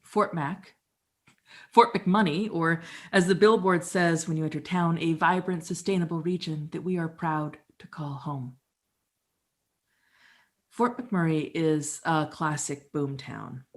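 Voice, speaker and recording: A woman's voice: deep voice, a little slow. Wry woman telling her story. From Canada. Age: middle-aged. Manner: wry, conversational, expressive. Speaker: performer. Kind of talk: theatrical storytelling monologue.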